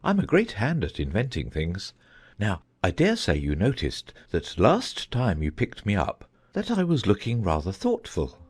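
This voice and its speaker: A man's voice: on the low side, huge pitch swings, measured pace, breathy edge, exaggerated voice. Man playing a dotty, swooping storybook old knight. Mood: earnest, thoughtful. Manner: earnest, rambling, thoughtful. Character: gentle, dotty old male knight, solemn muddled inventor. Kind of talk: dramatized audiobook acting.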